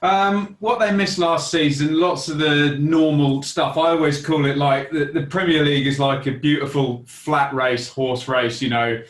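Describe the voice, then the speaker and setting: A man's voice: fairly high pitch, steady tone, measured pace, hardly any pauses. A male football content creator, a guest in a casual chat, explaining things fluently with vivid comparisons. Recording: echoey room.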